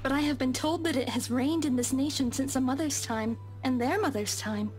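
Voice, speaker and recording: Woman acting young servant girl, high voice, timid, formal archaic phrasing, game dialogue, soft music behind. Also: moderate pace, natural voice. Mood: meek, wistful. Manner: formal, old-fashioned, hesitant. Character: meek, deferential young maid of a mansion. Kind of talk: visual novel game voice acting.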